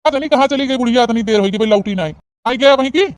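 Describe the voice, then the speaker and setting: A woman's voice: on the high side, racing, no echo, close to an everyday voice. A woman voicing a bossy, impatient old father-in-law in an Awadhi comedy cartoon, scolding and blustery, with an Awadhi accent. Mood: grumbling.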